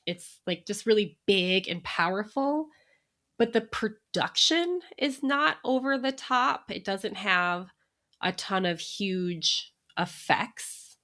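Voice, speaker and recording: A woman's voice: mid-range voice, a bit bright. Chatty woman podcast co-host. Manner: chatty, laughs often between remarks. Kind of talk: casual chat.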